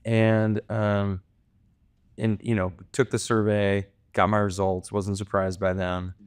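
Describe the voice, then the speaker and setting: A man's voice: on the low side, flat delivery, slow, smooth, no room sound. A man co-hosting a podcast, talking casually with loose phrasing and lots of fillers. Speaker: podcast co-host. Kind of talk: podcast interview.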